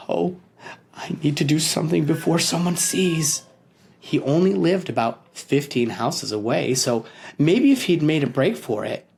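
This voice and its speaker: A man narrates a children's story with a lively, expressive delivery. His pitch moves freely as he reads, in the friendly manner of a podcast host talking straight to his listeners. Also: gravelly, breathy edge.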